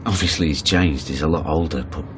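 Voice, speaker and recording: A man's voice: extremely low pitch, fairly quick, slight background noise. An actor in a naturalistic radio drama playing a hesitant, wounded man of thirty, apologetic and conciliatory. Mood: subdued. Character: hesitant, wounded thirty-year-old son seeking his father.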